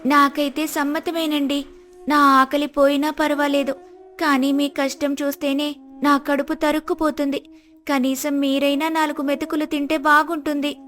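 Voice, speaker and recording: A woman voicing a kind, polite poor village wife in a moral story, respectful and soft-hearted. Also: high voice, flat delivery, moderate pace. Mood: worried, caring. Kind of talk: storybook dialogue acting.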